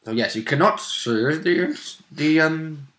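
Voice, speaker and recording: A man's voice: fairly high pitch, lively intonation, takes it slowly, fairly bright tone. A man chatting casually as a player in a tabletop game, chipping in with wry remarks. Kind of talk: casual chat.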